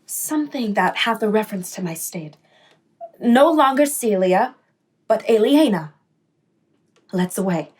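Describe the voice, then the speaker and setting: A woman's voice: medium pitch, expressive, unhurried, long pauses, a little mellow, slightly rough. A woman playing a devoted, resolute young noblewoman in a Shakespearean radio play, with earnest formal delivery.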